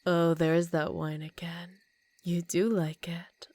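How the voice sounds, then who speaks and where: Woman acting as a older vampire countess for asmr, lowish voice, very dry close sound, slow teasing predatory, half whispery. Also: flat delivery, a little mellow, dry recording. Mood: seductive, coaxing. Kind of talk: ASMR roleplay.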